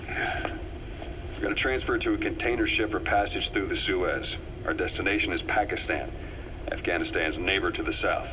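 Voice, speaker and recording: An actor playing a worldly, composed military operative in a game, briefing a comrade in a measured, matter-of-fact way. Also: male voice, low voice, natural voice. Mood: brisk, instructive. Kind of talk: scripted game dialogue, expository briefing. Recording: faint background noise, cassette tape briefing.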